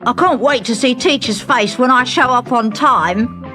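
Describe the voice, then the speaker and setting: A woman voicing a cheeky, excitable schoolboy in a children's audio storybook, speaking with boyish eagerness. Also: on the high side, moderate pace, over music, natural voice. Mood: gleeful.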